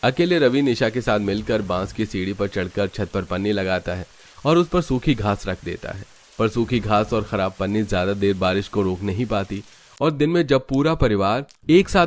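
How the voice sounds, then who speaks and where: Low-voiced man narrating a story. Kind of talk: scripted Hindi family-drama cartoon narration.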